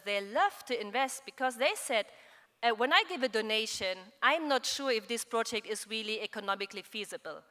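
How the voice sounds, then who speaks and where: A woman's voice: on the high side, sharp tone. A woman, earnestly explaining crowdfunding as a panelist in a large conference hall, speaking into a stand mic. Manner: earnest, explanatory, with hand gestures. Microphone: stand mic on a panel table. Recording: reverberant conference hall with faint background noise.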